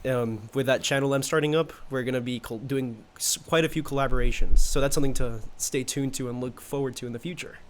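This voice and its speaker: Relaxed man, fluent and thoughtful. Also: medium pitch, a little fast, hardly any pauses. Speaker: guest co-reader. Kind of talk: casual conversation.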